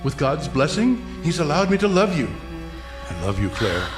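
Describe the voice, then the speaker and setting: A sanctimonious, seductive priest in a stage audio drama. His delivery swings widely in pitch as he coaxes and declaims. The space is echoey, with music underneath. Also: big pitch swings, moderate pace. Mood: coaxing, fervent. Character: seductive, cold, sanctimonious priest who betrays his lover. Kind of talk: theatrical live audio drama acting.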